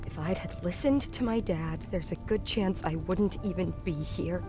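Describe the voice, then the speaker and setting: A woman's voice: on the low side, normal speed, over music, close to an everyday voice. A woman playing a determined young girl weighed down by guilt, in a family audio drama. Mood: grieving, guilty.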